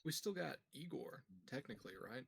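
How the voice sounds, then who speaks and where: A man chats casually as a player at a tabletop game. His medium-pitched voice is very rough and full of fry, in a dry recording. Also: animated, normal speed, a bit bright, no echo.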